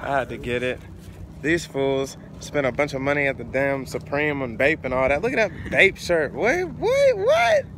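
A man's voice: medium pitch, big pitch swings, moderate pace, fairly bright tone, slight background noise. A young man chatting casually to his phone camera on a road trip with friends, slangy and laid-back, calling people "boy" and "bro.". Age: young adult. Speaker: vlogger. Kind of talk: casual vlog chat. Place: inside a car and outdoors in parking lots. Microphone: phone held at arm's length.

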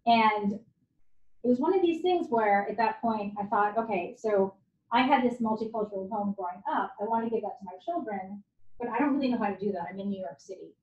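A woman's voice: medium pitch, brisk. Guest lecturer, forties woman, Japanese native, self-deprecating and chatty; echoey hall, dull lo-fi audio. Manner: candid, conversational, self-deprecating. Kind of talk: university lecture talk.

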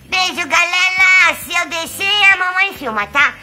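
Woman voicing Dominó, a coy high-pitched tomcat. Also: unhurried, sharp tone, a little hiss or hum, full character voice. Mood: affectionate. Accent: Brazilian. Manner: sweet, coy, chatty to viewers. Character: chatty, nervous, affectionate young male house cat. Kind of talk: pet vlog cat voice-over.

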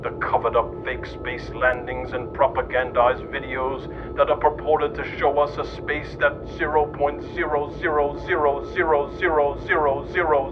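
A middle-aged man reading scripted, satirical mock-documentary narration. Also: a little high. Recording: muffled lo-fi narration under music. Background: music.

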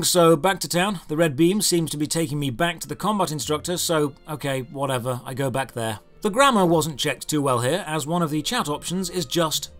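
High-voiced, sardonic man narrating a game review. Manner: sardonic, exasperated. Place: voice-over recorded off screen over gameplay footage.

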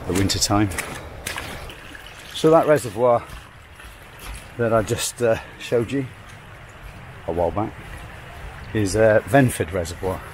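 A man's voice: lowish voice, animated, poor audio. An older man chatting casually to a handheld camera while hiking outdoors, rambling and self-mocking. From England. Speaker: hiking vlogger. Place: outdoors by a rushing river in woodland. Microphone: camera held at arm's length. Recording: loud rushing river noise behind. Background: roaring river water.

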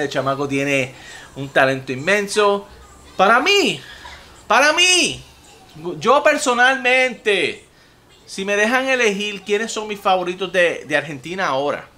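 Animated Puerto Rican man, bright voice. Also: on the high side, a little hiss or hum. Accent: Puerto Rican Spanish. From Puerto Rico. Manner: animated, profane, gestures a lot while talking. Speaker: music reaction video host. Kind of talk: casual reaction vlog. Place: home room with TVs and gaming gear, indoors.

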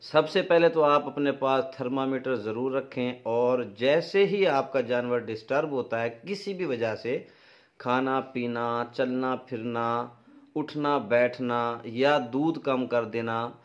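A man's voice: medium pitch, slow. Pakistani man giving practical advice, dull sound. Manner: practical, instructive. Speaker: dairy farming advice presenter. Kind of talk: informal instructional explanation to camera.

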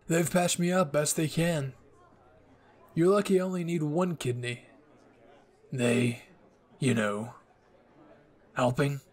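A man voicing a wounded, remorseful young villain in an anime roleplay, speaking softly in a halting, weary way. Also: a little high, slightly rough. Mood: calm, sheepish. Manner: halting, weak, pleading. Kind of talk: anime ASMR roleplay acting.

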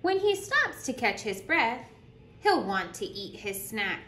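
Woman, higher-pitched voice, children's story read-aloud, deliberate teacherly delivery, roomy echo. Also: very animated, pushed and bright. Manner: deliberate storytelling with questions to young listeners. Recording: roomy echo with clear background noise.

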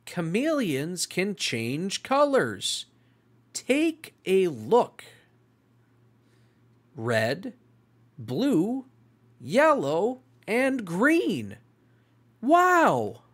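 A read-along host reading aloud for young children in a deliberate, exclamatory and animated way. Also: mid-range voice.

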